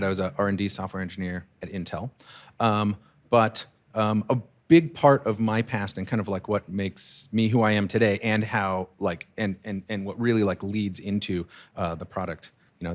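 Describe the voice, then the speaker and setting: A man's voice: lowish voice, steady tone, moderate pace. A man in his thirties, a startup founder, talking casually with many fillers and restarts in an interview.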